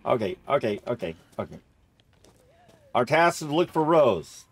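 A man's voice: mid-range voice, very animated, normal speed, slightly rough. A man giving casual video game playthrough commentary, jokey and thinking aloud as he goes.

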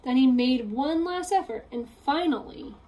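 A young woman reads a children's storybook aloud as a teacher would. Her voice is high, and she takes the text slowly. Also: lively intonation, warm tone. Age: young adult. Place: small room at home, indoors.

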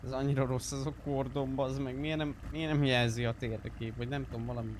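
A man in casual, slangy gaming chat, talking haltingly and repeating his words. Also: medium pitch, steady tone. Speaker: roleplay game player. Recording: loud car engine noise behind.

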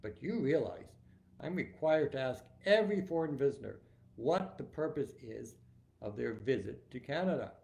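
A man reading aloud as a polite, brisk woman customs officer, lifting his voice a little and asking official questions. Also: medium pitch, lively intonation, vocal fry, a little mellow. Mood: questioning.

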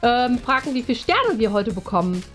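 A woman co-hosting in animated casual chat, recorded in an indoor studio room. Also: a little high, fairly quick, soft background music. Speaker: co-host handing out prizes.

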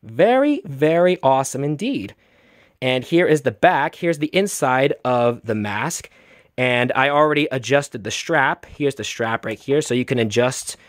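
A man's voice: mid-range voice, dry recording, studio quality. A man giving a chatty show-and-tell product review, enthusiastic and fond of repeating emphatic phrases. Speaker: toy reviewer.

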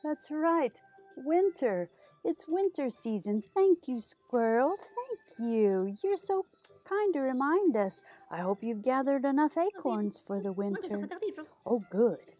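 Animated, high-voiced woman hosting children's storytime. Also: slow, faint music behind. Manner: animated, exaggerated, sing-song delivery for small children. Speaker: children's library storytime host. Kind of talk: children's storytime, talking to young viewers and reading aloud. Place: small decorated indoor storytime set.

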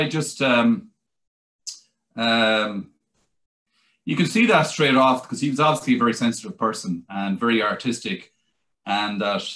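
A man's voice: fairly low pitch, a bit bright. A middle-aged Irish man, a translator, talking at length on a video call from a small room, with many restarts and fillers. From Ireland. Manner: rambling, many restarts and "you know". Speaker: translator and author. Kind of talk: conversational interview over video call. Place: small indoor room, plain wall. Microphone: video call webcam.

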